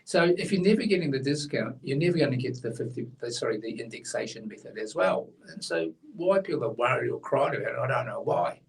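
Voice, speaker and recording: Gravelly man, blunt and opinionated. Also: mid-range voice, expressive, fast, hardly any pauses, recorded from a distance, low-quality recording. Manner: blunt, opinionated, explains in plain terms. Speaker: co-host, tax commentator. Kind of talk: casual explanatory chat.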